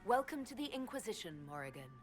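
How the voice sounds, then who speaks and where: A noble heroine who leads in a fantasy game. She is composed and dryly witty, mid-pitched with a widely moving melody, over faint music. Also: sing-song, fast talker. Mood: dry, teasing. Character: composed, dry-witted heroic noblewoman leader. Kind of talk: dramatic video game acting.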